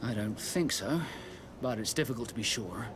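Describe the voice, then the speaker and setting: A male actor voices a middle-aged ship's captain for a video game. He is formal and stern, choosing measured words with authority. Clear background noise sits behind the voice. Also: lowish voice, lively intonation, close to an everyday voice. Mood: grave, concerned. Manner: formal, measured, commanding phrasing. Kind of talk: video game dialogue acting.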